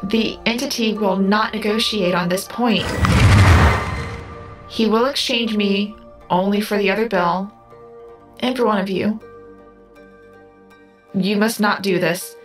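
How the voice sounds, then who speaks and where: An actress voicing a calm female artificial intelligence in an audio drama, speaking precisely and formally. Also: mid-range voice, takes it slowly, pauses often, natural voice. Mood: grave, formal. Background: music.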